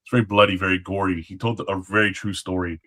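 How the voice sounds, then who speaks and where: A man's voice: low voice, steady tone, quick pace, pushed and bright. A man speaking as a guest in a casual interview chat. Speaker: guest, former guard at a historic site.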